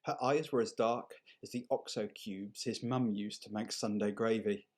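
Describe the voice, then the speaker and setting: A man reading a short story aloud in an unhurried, measured and expressive storytelling manner. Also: fairly low pitch. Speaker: story reader. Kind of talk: dramatic short story narration.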